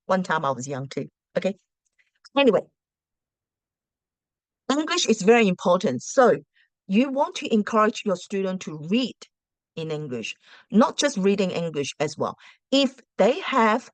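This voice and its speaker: A woman teacher whose first language is Cantonese talks to parents in an easy, chatty way, stopping to ask rhetorical questions and often restarting her phrases. Her voice sits at an ordinary middle pitch. The recording is dry and close, with almost no room sound. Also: no room sound. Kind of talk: casual advice talk to parents.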